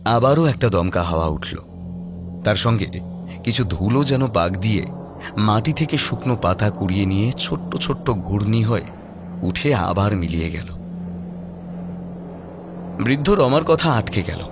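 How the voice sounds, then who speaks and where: A man's voice: lowish voice, animated, normal speed, long pauses, music in the background. A man narrating a horror audio story in a dramatic, scripted style.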